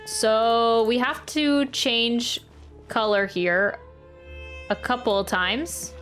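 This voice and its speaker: A woman with a fairly high voice streams a video game, chatting casually and thinking out loud. Her commentary is informal and unscripted, with game music playing behind her. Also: drawn-out words. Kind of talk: casual live gameplay commentary.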